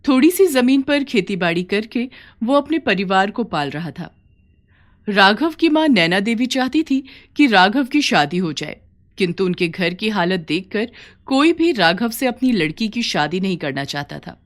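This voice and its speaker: A woman's voice: mid-range voice, very animated, unhurried. A woman narrator reading a scripted story.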